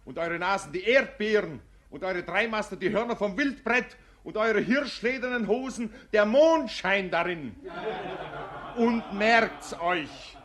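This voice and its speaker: A blustering, sly village schoolmaster in a 1950s radio play. He sounds a little tipsy and hectors his peasants in a fairly high voice. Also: lively intonation, unhurried, a bit bright. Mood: mocking.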